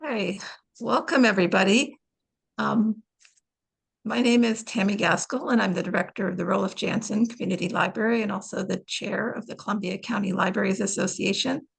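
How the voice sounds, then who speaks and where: A woman's voice: medium pitch, steady tone, normal speed, creaky, husky, poor audio. A woman with an American accent, a library director hosting a webinar and introducing the speaker, casual with frequent ums.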